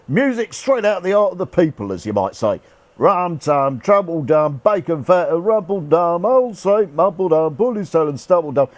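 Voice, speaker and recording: An actor playing a cheerful, irreverent rough soldier's ghost in a radio play, talking in jaunty, colloquial speech. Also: male voice, on the high side, darkish tone, dry recording. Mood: cheeky, breezy, then singing, jaunty. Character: cheeky, cheerful old-soldier ghost, rough common man. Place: actor's home.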